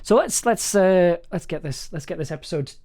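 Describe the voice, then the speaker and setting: A man hosting a casual livestream chat, talking in a halting, self-interrupting way and prone to chuckling mid-sentence. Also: high voice, lively intonation, darkish tone. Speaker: streaming host and audio drama maker.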